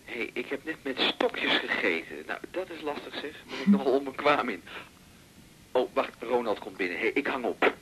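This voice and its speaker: An actor playing an affectionate, breezy husband on a long-distance call in a naturalistic radio drama, chatting in a husky voice. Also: male voice, medium pitch, lively intonation, moderate pace, fairly bright tone. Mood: breezy, fond.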